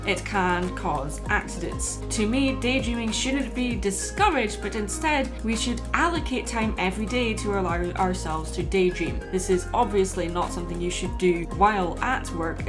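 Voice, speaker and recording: A woman narrating a scripted personal video essay in a measured, reflective, explanatory way. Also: on the high side.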